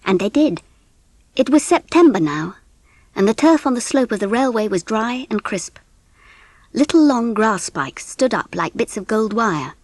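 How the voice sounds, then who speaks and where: A woman with an English accent giving an expressive, measured narration of a scripted storybook. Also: a little high, dry recording. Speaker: audiobook narrator.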